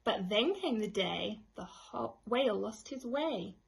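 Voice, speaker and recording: Female storytime reader, expressive rhyming narration, slow pace, creaky husky voice, dramatic delivery. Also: medium pitch, slight background noise.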